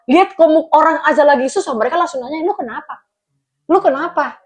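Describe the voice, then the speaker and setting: A woman's voice: high-pitched, quick pace. Chatty, teasing young woman host. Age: young adult. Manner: chatty, teasing, laughs often, addresses viewers as guys. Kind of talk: casual chat.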